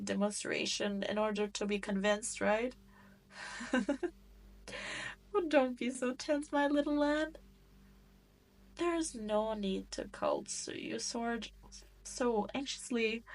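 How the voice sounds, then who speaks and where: A woman's voice: on the high side, gravelly, exaggerated voice. A woman playing a cruel, seductive demon queen in an audio roleplay, taunting and condescending as she toys with her prey. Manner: taunting, haughty, condescending, teasing. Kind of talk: scripted roleplay audio drama monologue.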